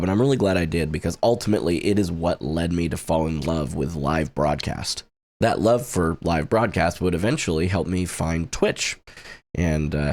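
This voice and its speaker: A man's voice: low voice, darkish tone. A man in his late twenties sharing a personal faith testimony in an earnest, reflective way, with flashes of self-deprecating humor. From United States.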